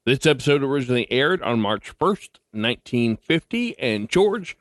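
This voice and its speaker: Lively man hosting a podcast, dry studio sound. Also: medium pitch, normal speed, dry recording. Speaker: podcast host. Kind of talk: scripted podcast introduction.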